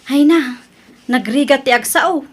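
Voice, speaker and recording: Woman playing nervous gossipy lady, super high voice, ilocano filipino accent, radio drama, hesitant to tell bad news. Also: a little slow. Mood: anxious, hesitant. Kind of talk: Ilocano audio drama acting.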